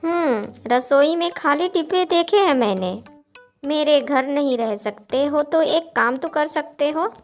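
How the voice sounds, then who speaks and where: A woman playing a high-voiced devoted young sister. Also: lively intonation, measured pace. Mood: insistent. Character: devoted, caring young married sister, earnest and insistent. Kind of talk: Hindi cartoon moral story dialogue. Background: background music.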